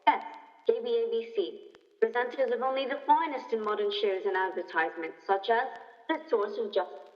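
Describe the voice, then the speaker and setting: A woman's voice: on the high side, brisk, close to an everyday voice. Woman as old-time radio announcer, distant hall. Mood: promotional. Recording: distant mic in hall, dull.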